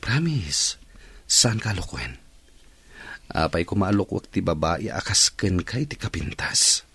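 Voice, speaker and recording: A man's voice: low voice, very animated, measured pace, pushed and bright, slightly rough, full character voice. A man playing a persuasive, evasive young boyfriend in a radio drama. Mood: sweet, coaxing. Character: smooth-talking, evasive young boyfriend making promises.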